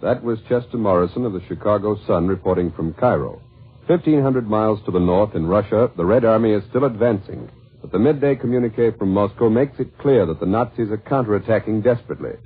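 A man's voice: fairly low pitch, fairly quick, slightly rough. An American man anchoring a scripted 1940s radio newscast, heard on an old narrow radio recording.

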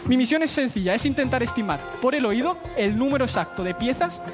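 A man's voice: extremely high pitch, animated, quick pace. A young man in his twenties from Valencia with a Spanish accent, confidently talking judges through a mentalism act on a big TV studio stage. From Spain. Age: 20s. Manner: confident, smooth, addresses judges directly. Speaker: talent show contestant. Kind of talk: stage patter while performing mentalism. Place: large television studio stage. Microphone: headset mic. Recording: broadcast audio with clear music behind.

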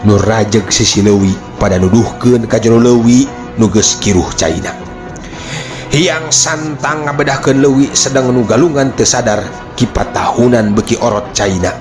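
A man with a Sundanese accent narrating a folk tale as a dramatic storyteller. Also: medium pitch.

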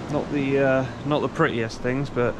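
Man, 30s, mid-pitched voice. Understated, casual vlog chat recorded outdoors by the sea with heavy surf noise. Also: fairly even intonation, moderate pace. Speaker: outdoor vlogger. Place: outdoors on a rocky sea ledge. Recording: loud surf and outdoor ambience. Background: waves and surf.

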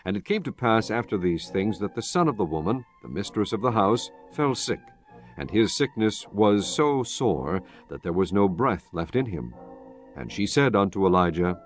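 A man narrating a dramatized Bible from a script in a solemn, measured storytelling manner. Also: fairly low pitch, sing-song, faint music behind.

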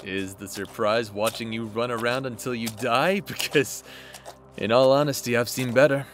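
A man's voice: medium pitch, fairly even intonation, moderate pace, fairly bright tone, faint music behind, close to an everyday voice. Sly, mocking demon, acted by a man. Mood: bored, mocking. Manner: mocking, teasing, casual. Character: bored, taunting male demon, sly and foul-mouthed. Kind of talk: fantasy audio roleplay acting.

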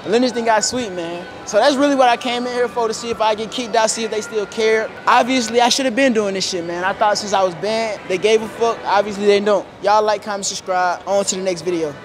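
A man's voice: sits high, steady tone, a little fast, few pauses, over music, a crowd in the background. A young man hosting casual street interviews, talking in playful slang with plenty of swearing. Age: young adult. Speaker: host of public interview vlog. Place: large indoor shopping mall. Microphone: wireless mic on camera shoot.

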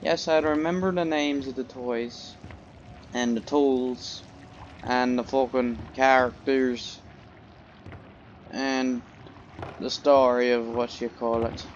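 A man doing let's play commentary in a put-on British accent, jokey, profane and loud. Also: mid-range voice, steady tone, slow pace, long pauses, dull audio, a little hiss or hum. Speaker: gamer.

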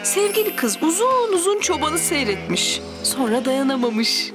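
A woman giving expressive scripted narration of a children's storybook tale. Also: sits high, moderate pace, over music.

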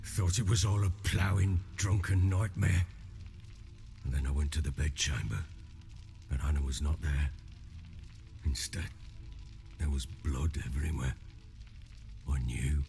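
Older drunken baron for a fantasy game: slow, gravelly delivery, guilt-ridden and anguished, faint music underneath. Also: male voice, a bass voice, darkish tone, full character voice. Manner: anguished, halting, archaic coarse speech. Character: burly bearded drunken baron, grief-stricken and guilty. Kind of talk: dramatic video game acting.

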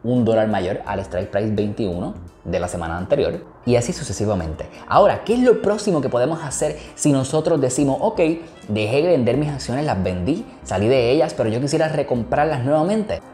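A man's voice: medium pitch, lively intonation, a little fast, mellow. Puerto Rican man explaining in an echoey room. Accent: Puerto Rican Spanish. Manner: explanatory, repeats "¿ok?" to check understanding. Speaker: investing educator. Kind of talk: screen-recorded tutorial explaining options. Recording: echoey room with faint music.

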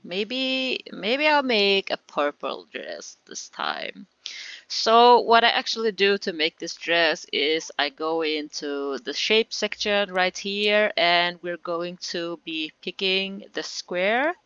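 A woman with a Swedish accent talks through a game tutorial in a chatty, giggly way. Her voice is bright and smooth. The recording is dry and a little muffled. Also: lowish voice, animated, slow, no room sound. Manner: chatty and giggly, with frequent fillers. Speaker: gaming tutorial creator. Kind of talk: casual game tutorial voice-over.

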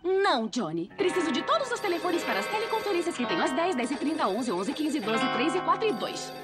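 A woman with a Brazilian accent playing a busy, stern but soft-hearted businesswoman mother in a cartoon. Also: high-pitched, very animated, fast talker. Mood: brisk refusal. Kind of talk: animated cartoon dub acting. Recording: loud music behind the voice.

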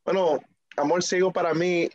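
A man's voice: sits high, moderate pace, dry recording, muffled recording. A young man raised in the United States by a Dominican family, answering interview questions casually on a video call, with frequent fillers and easy laughs. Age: young adult. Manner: relaxed, laughs easily, many fillers and restarts. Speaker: guest musician. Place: small indoor room, video call.

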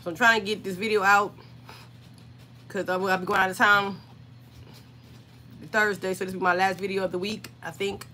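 A woman in her forties, hoarse and scratchy from being sick, chatting on a livestream from her home craft room and greeting viewers by name. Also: medium pitch, flat delivery, a little fast, long pauses, pushed and bright. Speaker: craft channel host.

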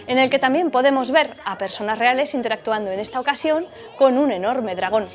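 Female presenter, forties, Castilian Spanish accent. Chatty scripted narration, slightly high voice, music bed underneath. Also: brisk. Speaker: film show presenter. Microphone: lapel mic.

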